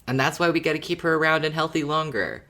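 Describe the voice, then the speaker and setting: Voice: mid-range voice, fast talker, pushed and bright. A podcast guest with a mid-range voice talks in a casual fan-discussion style. The delivery is a little hesitant, with phrases repeated while thinking.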